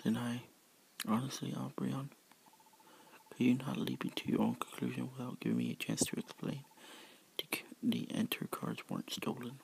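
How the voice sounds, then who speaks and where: A man's voice: mid-range voice, big pitch swings, normal speed, gravelly, low-quality recording. A man whispering the part of a remorseful, anxious female fox creature in a read-aloud story. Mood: exasperated. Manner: whispered, gentle and apologetic.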